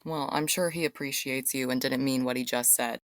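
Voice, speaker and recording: A woman acting out a caring aunt in an audio drama, inquisitive and sympathetic. Her voice is low for a woman and recorded dry and close. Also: steady tone, normal speed, no room sound. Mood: concerned. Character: concerned, curious aunt.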